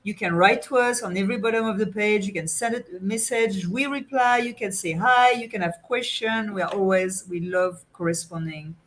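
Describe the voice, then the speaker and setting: A woman's voice: medium pitch, moderate pace, hardly any pauses, a bit bright. A woman with a French accent speaking as a radio guest, in earnest, simple phrasing. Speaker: guest, business founder. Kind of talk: casual interview chat.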